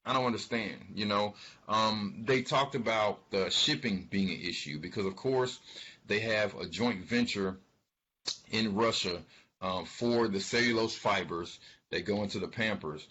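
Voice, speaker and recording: A man giving informal financial commentary, speaking haltingly and emphatically, repeating words for stress. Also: lowish voice, bright, forceful tone, husky. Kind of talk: informal spoken commentary on an earnings call. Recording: dull, lo-fi sound.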